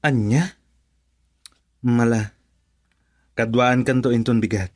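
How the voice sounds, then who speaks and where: An actor playing an easygoing, tipsy husband in an Ilocano radio drama, jovial and drawling, with an Ilocano accent. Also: male voice, medium pitch, steady tone, takes it slowly. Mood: teasing, caring. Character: easygoing, tipsy husband home late from drinking.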